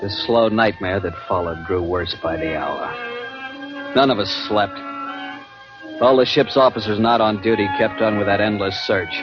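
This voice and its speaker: An actor playing a grim, urgent adventurer who narrates in tense, deliberate, ominous 1940s radio drama style. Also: male voice, fairly low pitch, lightly acted. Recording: muffled old radio broadcast, musical backing. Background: dramatic music.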